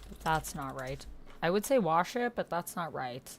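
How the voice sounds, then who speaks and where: Woman, low pitch, casual game commentary, reading chat aloud, faint noise, keyboard typing. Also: animated, normal speed. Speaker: player in a text-based online roleplaying game. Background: computer keyboard typing.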